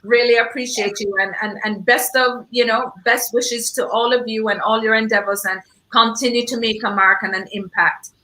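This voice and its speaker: Bright-voiced, effusive Jamaican woman. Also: fairly high pitch, fairly even intonation, moderate pace, few pauses, low-quality recording. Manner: effusive, emphatic. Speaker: event host. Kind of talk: unscripted panel discussion talk.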